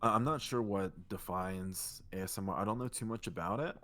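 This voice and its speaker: Male co-host, low and soothing, loose rambling delivery, casual profanity. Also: a little fast. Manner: rambling, relaxed, swears casually. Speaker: podcast co-host and streamer. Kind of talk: casual chat.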